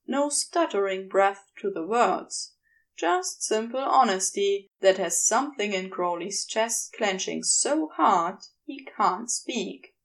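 A woman reads fiction aloud in an intimate storytelling manner. Her pace is slow and measured, and her pitch moves expressively through the sentences. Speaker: podfic reader. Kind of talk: scripted fiction narration.

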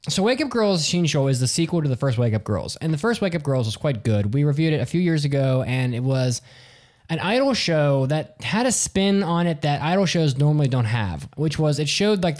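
A man with a deeper voice co-hosting a casual podcast, rambling and restarting his sentences. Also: sing-song, normal speed, darkish tone. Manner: rambling, self-interrupting, restarts. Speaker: podcast co-host.

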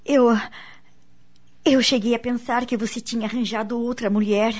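A woman's voice: a little high, fairly even intonation, moderate pace, lightly acted. A woman playing a nervous, easily startled wife in a radio soap opera. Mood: hesitant, questioning. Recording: dull lo-fi radio recording.

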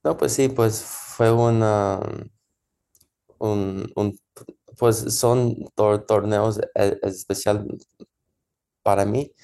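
Stammering man with a gravelly low voice. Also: steady tone, mellow. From United States. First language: English. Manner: halting, stammers and repeats syllables often. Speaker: guest, professional tennis player. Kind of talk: casual interview answers.

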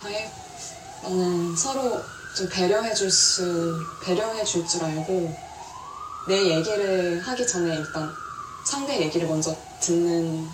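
Fast-talking woman in an echoey TV clip. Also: fairly low pitch. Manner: speaks in short, unfinished phrases. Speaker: reality show cast member. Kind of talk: interview confession from a TV show. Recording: echoey TV clip with background noise.

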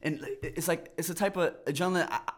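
A man's voice: on the high side, rapid-fire. A man in his twenties from New York, a podcast guest chatting casually and rambling with many stammered restarts. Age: 20s.

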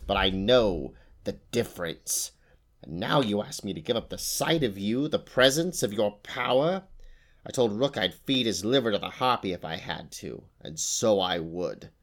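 A man's voice: low-pitched, sing-song, a little slow, vocal fry, exaggerated voice. A man voicing an old, boastful and fierce female witch who talks with a sneer. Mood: savage. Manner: sneering, snarling at times.

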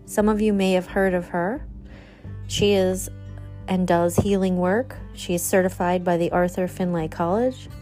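A woman's voice: on the low side, fairly even intonation, measured pace, warm tone, faint music behind. A woman hosting an interview show, introducing and questioning in a conversational way. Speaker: show host.

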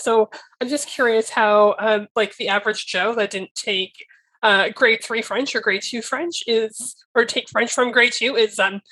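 A woman's voice: on the high side, fairly bright tone, slightly rough, rough recording. A young woman co-hosting a podcast, chatty and self-deprecating, using lots of casual fillers. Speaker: podcast co-host. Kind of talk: casual chat.

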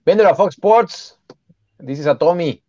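A man's voice: on the high side, measured pace, no echo. Man, dry dull lo-fi sound. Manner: relaxed, chatty, greets viewers, many fillers. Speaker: co-host of a live sports stream. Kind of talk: casual live-stream chat.

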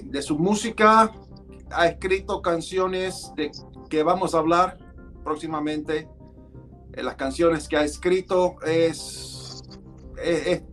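A male host opens a live show, speaking slowly and deliberately as he introduces his guest. His voice sits a little high, and faint music plays underneath. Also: a bit bright.

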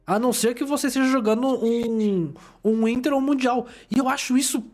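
A man hosting a casual podcast chat in a relaxed, chatty way. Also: extremely high pitch, fairly quick, faint music behind. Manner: relaxed, chatty, uses "tipo" and "sabe".

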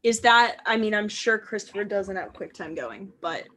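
A young woman co-hosting a podcast, chatting casually with jokey quick asides. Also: medium pitch, expressive, a bit bright. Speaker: podcast co-host.

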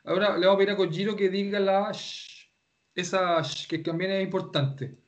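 A man's voice: high voice, fairly even intonation, normal speed. A man teaching a Japanese class online, explaining patiently and repeating himself with many restarts. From Chile.

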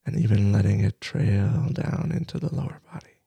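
Male meditation guide: dark, relaxed, breathy delivery in halting phrases, soft-spoken. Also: on the low side, no room sound. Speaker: meditation teacher.

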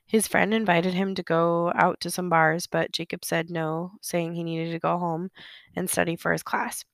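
A sick, hoarse woman hosting a solo true-crime podcast, chatty and apologetic, filling pauses with ums. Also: fairly low pitch, level tone, no room sound, clean studio sound. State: sick, hoarse, losing her voice. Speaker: podcast host. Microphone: close podcast microphone.

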